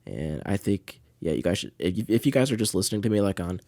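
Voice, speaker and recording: A man's voice: low voice, very animated, fast talker, gravelly, warm tone, dry recording. A man hosting a show, chatting casually and rambling along hesitantly. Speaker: podcast host. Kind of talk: casual solo livestream chat.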